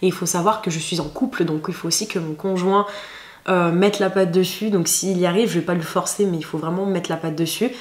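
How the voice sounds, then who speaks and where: A French woman vlogging in a casual chat, hoarse and stuffed up from a cold, talking with many fillers and restarts. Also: lowish voice, fairly even intonation, brisk. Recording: echoey room with faint noise.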